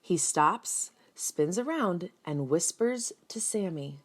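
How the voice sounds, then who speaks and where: A woman reading a picture book aloud for children in an expressive storytelling style, speaking directly and simply to young listeners with dramatic emphasis. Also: lowish voice, slow. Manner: dramatic emphasis, acts out sound words. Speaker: children's read-aloud reader.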